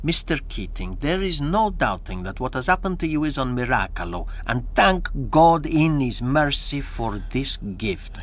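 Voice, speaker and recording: An actor playing a reluctant, weary Italian ship's captain in a radio drama, formal and exclamatory with an Italian accent. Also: male voice, mid-range voice, big pitch swings, normal speed, few pauses. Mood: grave, troubled.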